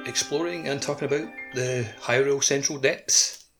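A man's voice: medium pitch, steady tone, normal speed, vocal fry, fairly bright tone, soft background music. A man co-hosting a podcast, chatting casually about video games. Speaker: podcast co-host. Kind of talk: casual video game chat.

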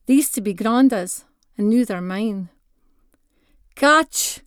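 Female story reader, North-East Scottish accent, mid-pitched, expressive dialect narration, very little room sound. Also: moderate pace, clear, resonant tone, no room sound, clean studio sound. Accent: North-East Scottish (Doric). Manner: expressive, acts out dialogue in dialect. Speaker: author reading own short story. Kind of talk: scripted narration, first-person storytelling reading.

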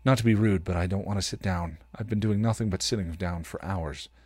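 A man's voice: sits low, brisk, darkish tone, no room sound. A man reading the part of a worried young woman, firm and impatient, in a storybook narration. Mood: tense.

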